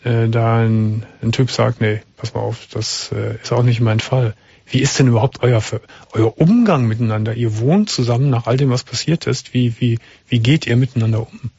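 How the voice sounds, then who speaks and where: A man hosting a late-night radio call-in show, calmly asking questions and giving advice. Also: mid-range voice, normal speed, gravelly, slightly rough, no room sound. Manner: calm, probing, interrupts to clarify. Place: radio studio. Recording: dull broadcast radio sound.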